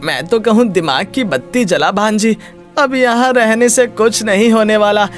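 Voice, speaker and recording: A man voicing an anxious, folksy uncle who pleads and cautions in an animated moral story. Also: high-pitched, normal speed, faint music behind, close to an everyday voice. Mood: worried, cautioning. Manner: pleading, folksy catchphrase talk.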